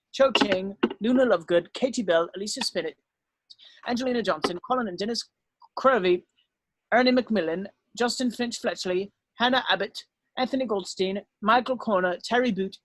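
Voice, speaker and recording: A woman's voice: medium pitch, no room sound, poor audio. A woman narrating stage directions from a script.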